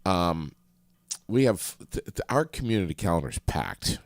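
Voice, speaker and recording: A man with a very low, gravelly voice that swings widely in pitch, sounding stuffed up. He co-hosts a casual local radio chat, loose and joking. The studio sound is close and dry. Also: sing-song, measured pace, dry recording. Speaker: radio show co-host.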